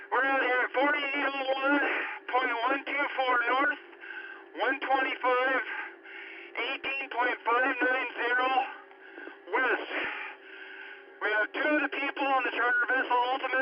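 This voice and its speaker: A man's voice: very high-pitched, flat delivery, measured pace. A man speaking as a charter boat skipper over VHF marine radio. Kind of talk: marine radio distress traffic. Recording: muffled, very noisy VHF radio.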